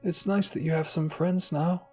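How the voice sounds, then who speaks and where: A man voicing a kindly, fussing old grandmother in a story, gentle and coaxing. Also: on the high side, fairly even intonation, moderate pace, faint music behind, lightly acted. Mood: fond, coaxing.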